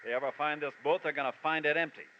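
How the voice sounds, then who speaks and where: A man's voice: fairly high pitch, fairly even intonation, racing, slight background noise, lightly acted. An actor playing a scheming, menacing male crook in a 1950s radio drama, snarling and bossy. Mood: cold. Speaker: villain. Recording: dull old radio recording.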